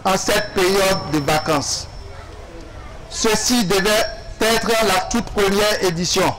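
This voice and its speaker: High-pitched, slow-speaking Beninese man. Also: low-quality recording. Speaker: official opening a tournament. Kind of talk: formal ceremonial speech.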